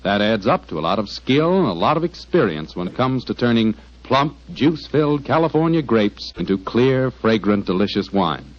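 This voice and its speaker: A dull, band-limited 1940s radio recording of an American male announcer. He has a mid-pitched voice with animated swings, polished and folksy like a practiced sponsor pitchman. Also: normal speed, few pauses.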